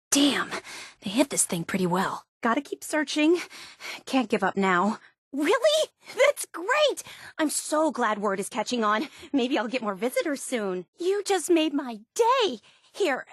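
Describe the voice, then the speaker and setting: A woman's voice: sits high, no room sound, clean studio sound, heavily acted. A woman voicing a bubbly, obsessive young female superfan in a video game, earnest and gushing. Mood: determined, then pleased. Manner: gushing, earnest, wide-eyed enthusiasm. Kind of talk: video game character voice acting.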